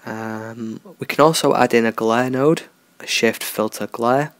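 A man talking through a software tutorial in a relaxed, unscripted way, thinking aloud as he works. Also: medium pitch, measured pace, no room sound. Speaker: tutorial host. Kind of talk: screen-recorded software tutorial.

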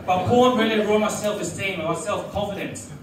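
A young man doing a stand-up comedy set in a small restaurant bar, delivering deadpan one-liners and laughing at his own lines. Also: high-pitched, brisk, very noisy. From Australia. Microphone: handheld mic, filmed from far back. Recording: echoey room from far off, loud crowd.

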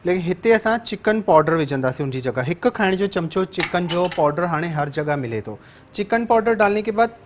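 Sindhi-accented TV chef explaining a recipe. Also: male voice, high voice. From Sindh, Pakistan. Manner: instructive, repeats steps in two languages. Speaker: TV cooking show chef and host. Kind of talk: cooking demonstration, taking phone calls. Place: TV studio kitchen set.

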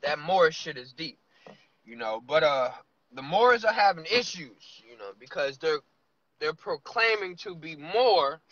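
Man, thirties, casual car monologue, bright tense voice, muffled phone sound. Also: on the high side, expressive. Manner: loose, musing, drawn-out asides. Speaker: vlogger. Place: inside a parked car. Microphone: phone camera on dashboard. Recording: lo-fi phone audio.

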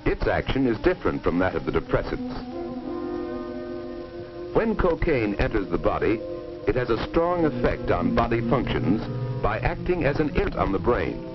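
A man's voice: fairly low pitch, very animated, moderate pace. A man reading a grave, authoritative scripted narration in the style of a 1950s educational film. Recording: dull old 1950s film soundtrack, music.

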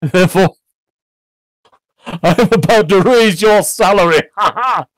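A man's voice: fairly low pitch, normal speed, a little mellow, dry recording, close to an everyday voice. A man acts out an old miser who has found joy, in a classic storybook tale. He blusters with mock sternness and exclaims with hearty generosity. The sound is close and dry. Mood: jubilant, hearty. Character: reformed old male miser, gleeful, mock-gruff, bursting with generosity.

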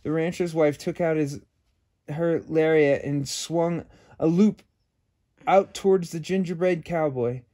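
A man's voice: a little high, fairly even intonation, unhurried, warm tone. A man hosting children's story time, reading a picture book expressively with casual asides.

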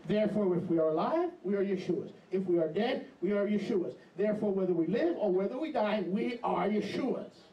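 A middle-aged man preaching an animated, emphatic sermon into a handheld mic in a small congregation hall. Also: high voice, fairly quick, hardly any pauses, lo-fi sound. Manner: animated, emphatic, jumps into mocking voices. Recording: echoey hall, camera far off.

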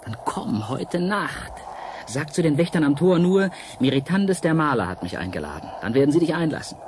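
An actor in a radio play voicing a seductive, mysterious painter from an ancient world, coaxing and dramatic with halting pauses. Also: male voice, on the high side, big pitch swings, background noise.

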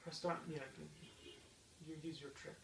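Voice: medium pitch, flat delivery, moderate pace, gravelly. A middle-aged parent chatting casually and coaching a child through a video game in a patient, encouraging way. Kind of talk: casual chat while watching gameplay. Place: indoor room at home with a TV. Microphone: distant camera mic. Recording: noisy, echoey room, far from mic.

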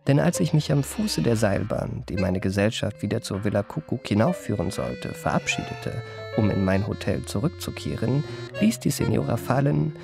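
Creaky-voiced urbane gentleman narrator. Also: on the low side, lively intonation, music in the background. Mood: self-satisfied. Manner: ornate, measured literary phrasing. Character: urbane, self-satisfied gentleman recounting his memoirs. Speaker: first-person narrator. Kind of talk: scripted radio drama narration.

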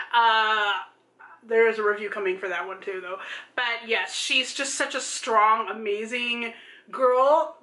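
A woman's voice: fairly high pitch, pushed and bright. A woman in her thirties chatting casually about books as a vlog co-host, gushing and emphatic. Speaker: book vlogger, co-host. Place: small room at home. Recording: roomy home video sound.

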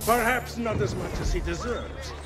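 A man's voice: high voice, animated, moderate pace, loud music behind the voice. An actor playing a courtly, deferential Venetian nobleman in a game, with an Italian accent and formal, obsequious manner. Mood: composed.

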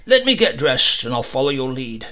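A man voicing a gruff, sly police brigadier in a storybook tale, with a sardonic, knowing manner. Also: medium pitch, sing-song, moderate pace, bright, forceful tone. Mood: confident.

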